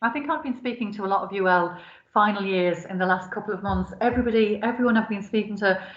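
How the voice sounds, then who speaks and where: A woman's voice: mid-range voice, fast talker. A woman with an Irish accent informally moderating an online seminar as its host. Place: online video call. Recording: roomy, dull lo-fi call audio.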